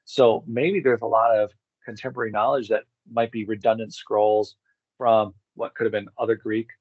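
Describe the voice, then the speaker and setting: A man in a casual speculative discussion, theorizing in a rambling, animated way. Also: lowish voice, moderate pace, no echo, narrow, tinny audio.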